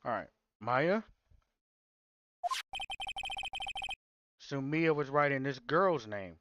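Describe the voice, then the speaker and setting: A man's voice: mid-range voice, animated, unhurried, dull audio, heavy background noise, natural voice. Man playing an earnest, anxious young defense lawyer. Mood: concerned. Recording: music behind the voice.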